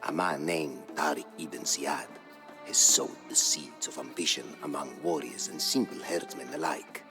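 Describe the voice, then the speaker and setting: An actor playing a proud, solemn Berber chronicler, narrating a video game campaign in a grave, measured, formal manner. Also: male voice, a bass voice, very animated, vocal fry, exaggerated voice. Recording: studio voice-over with music behind.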